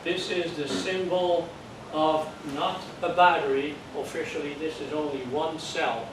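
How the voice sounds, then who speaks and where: A man's voice: a little high, fairly even intonation, measured pace. Older Dutch-accented man lecturing in an echoey room. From Netherlands. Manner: plain, patient explaining with jokes and check-ins. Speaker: amateur radio course instructor. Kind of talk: classroom lecture. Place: classroom. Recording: echoey room from far off, noisy.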